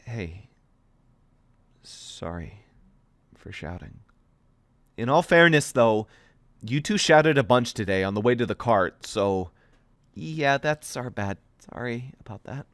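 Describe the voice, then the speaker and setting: A man voicing a gentle, shaken young woman who speaks softly in a hushed, tired way. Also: medium pitch, animated, slow pace, pauses often, dry recording, clean studio sound, voice pushed up.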